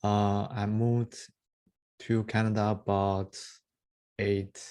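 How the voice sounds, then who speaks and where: A man's voice: lowish voice, flat delivery, slow pace, warm tone, clean tone. A man from China introducing himself briefly as a mentor on a video call, understated.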